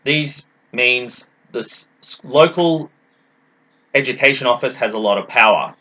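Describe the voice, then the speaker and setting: A man's voice: mid-range voice, animated, measured pace, pushed and bright. A man giving an explanatory presentation as a teacher, in an emphatic, didactic manner, posing questions and answering them. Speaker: English teacher presenting a talk.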